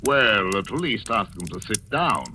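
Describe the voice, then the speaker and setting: An actor playing a dry, urbane, composed doctor in an old radio drama. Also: male voice, lowish voice, expressive, unhurried. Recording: noisy, distant vintage radio recording.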